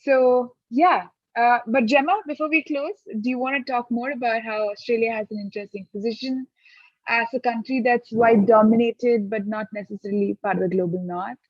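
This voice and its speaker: A woman's voice: a little high, fairly even intonation, normal speed, no echo, lo-fi sound. A woman moderating a conference panel, earnest, with many fillers.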